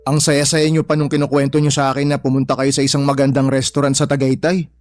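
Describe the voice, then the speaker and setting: An actor playing a protective, exasperated adult son in a radio-style drama, blunt and earnest, with a Filipino accent. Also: male voice, mid-range voice, completely flat delivery, fast talker, darkish tone, lightly acted. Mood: shocked, indignant.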